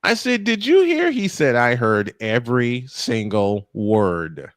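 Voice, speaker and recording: A man calling live baseball play-by-play and telling stories in an animated, emphatic way, stretching phrases for drama. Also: medium pitch, slow, dry recording, studio quality. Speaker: play-by-play sportscaster. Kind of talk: live baseball commentary mixed with animated storytelling.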